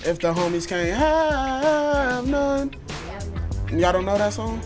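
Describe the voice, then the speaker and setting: A man's voice: extremely high pitch, animated, slow. Easygoing playful man over music. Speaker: comedian on a reality skit. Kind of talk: casual comic chat in a produced video. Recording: played through a video with music behind.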